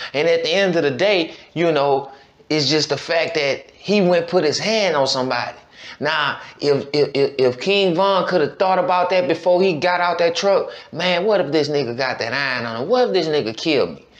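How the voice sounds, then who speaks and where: A man hosting a reaction show, speaking in casual slangy commentary with repeated, admonishing phrases. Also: a little high. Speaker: reaction podcast host.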